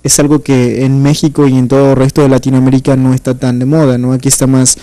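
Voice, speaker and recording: A young man from Argentina with a mellow, relaxed voice talks casually as an interview guest. The sound is dry and close, from a handheld microphone. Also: medium pitch, quick pace, dry recording. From Buenos Aires, Argentina. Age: 20s. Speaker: pop singer, guest. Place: small indoor TV studio. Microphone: handheld microphone held close.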